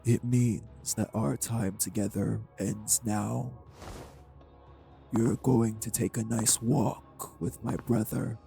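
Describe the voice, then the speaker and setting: A man playing a cruel, taunting male vampire in an audio drama, with a husky, gravelly voice and a slow, mockingly polite manner. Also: on the low side, warm tone, exaggerated voice. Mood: gloating menace. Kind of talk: scripted audio roleplay drama.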